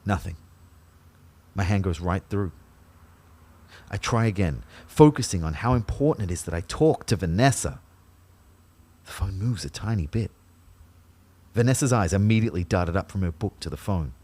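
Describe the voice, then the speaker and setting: A man's voice: sits low, expressive, normal speed, pauses often, darkish tone, dry recording. A man playing an anxious, lovelorn dead young man, narrating his limbo introspectively in the first person for an audio drama. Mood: intent. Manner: introspective, intent.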